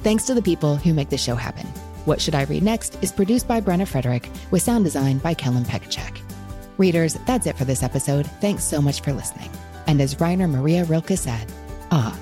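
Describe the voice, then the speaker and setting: A woman's voice: low voice, expressive, a little fast, mellow, faint music behind. A woman hosting a book podcast, chatting with guests and addressing her listeners. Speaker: podcast host. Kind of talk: warm book-podcast hosting, interview and sign-off.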